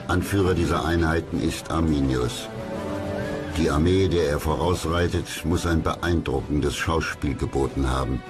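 Casting: male history narrator, extremely low voice, measured and factual, music bed behind. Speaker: documentary narrator. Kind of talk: scripted history documentary narration. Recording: clear music under the voice.

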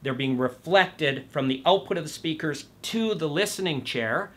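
A man in his fifties presenting to camera, explaining things in measured, emphatic phrases with stressed key words. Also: fairly high pitch, expressive. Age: 50s. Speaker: presenter of an audio show. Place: small indoor studio room.

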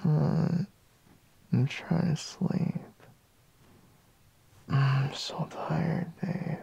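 A man playing a sleepy, grumbling boyfriend in an intimate ASMR roleplay, murmuring in a breathy, husky half-whisper close to the microphone. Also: fairly high pitch, mellow, dull audio, full character voice. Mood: groggy, reluctant. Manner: drowsy, drawling, murmuring with sighs. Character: sleepy, grumbling, teasing young boyfriend woken in bed. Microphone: close microphone, dry.

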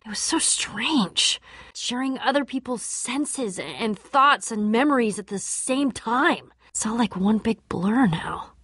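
A woman's voice: a little high, animated, takes it slowly, fairly bright tone, husky, no room sound, heavily acted. An actress playing a tough, guarded young female adventurer in a video game, casual, candid and dryly sarcastic. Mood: strained, puzzled. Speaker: game voice actor. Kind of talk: video game character dialogue.

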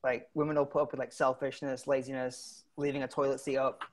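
The halting, self-deprecating British man in his thirties you would hear testing jokes from his living room at an online comedy night. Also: medium pitch, flat delivery, a little hiss or hum. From Britain. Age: 30s. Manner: halting, self-deprecating, often chuckling at his own lines. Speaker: stand-up comedian. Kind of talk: stand-up comedy set over video call. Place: indoor room at home. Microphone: wired earphones on a video call.

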